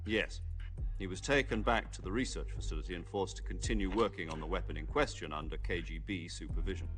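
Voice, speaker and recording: An actor playing a grave intelligence commander who briefs his field agent in a measured, authoritative way in a video game cutscene. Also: deep voice. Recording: loud music under the voice. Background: loud cinematic score.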